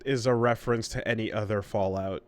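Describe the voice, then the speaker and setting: A man's voice: on the low side, fairly even intonation, a little fast, dry recording. A man co-hosting a podcast, giving casual conversational commentary in a wry, emphatic, sweary way. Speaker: podcast co-host.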